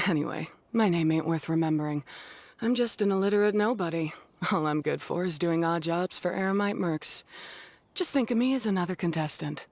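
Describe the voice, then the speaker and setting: A woman's voice: on the low side, lightly acted. A woman playing a blunt, self-effacing mercenary beast tamer in a video game, plain-spoken and matter-of-fact with folksy slang. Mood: gruff, modest.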